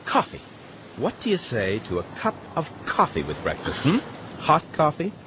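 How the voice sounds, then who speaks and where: A man acting an excitable, determined castaway in a period audio drama, with emphatic, old-fashioned formal diction. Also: a little high, moderate pace. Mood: eager, brisk. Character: excitable, determined male castaway, courteous but self-reproaching. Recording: clear noise behind the voice, outdoor ambience.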